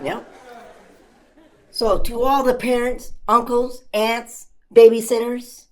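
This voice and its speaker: A woman voicing a cheeky young male puppet comedian telling exasperated, self-amused stand-up stories with deadpan punchlines and dramatic exaggeration. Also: fairly high pitch, slow pace, a faint crowd, low-quality recording. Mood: wry, fond.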